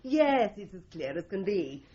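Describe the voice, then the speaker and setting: An actress playing a sardonic, quick-witted, bossy office secretary in a radio drama, dry and emphatic. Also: female voice, mid-range voice, sing-song, mellow, lo-fi sound. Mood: mock-authoritative. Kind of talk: 1980s radio drama acting.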